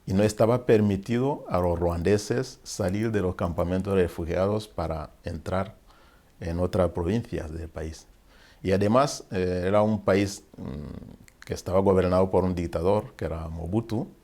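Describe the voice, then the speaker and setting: Priest from Rwanda, forties, very low voice, reflective testimony told with pauses. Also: male voice, a little mellow. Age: 40s. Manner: reflective storytelling, pauses to search for words. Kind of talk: interview guest recounting his life.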